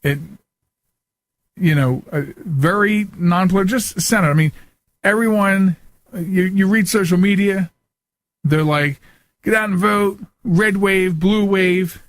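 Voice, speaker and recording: An American man with a slightly high voice talks as a podcast host. His delivery is casual and unscripted, earnest and emphatic, circling back over his phrases. The sound is dry and close. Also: unhurried, no room sound. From United States. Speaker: talk show host.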